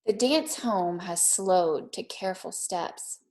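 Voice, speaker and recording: A woman's voice: lowish voice, slow, a little mellow. A woman teacher in a read-aloud lesson for young children, speaking simply and directly with an encouraging manner.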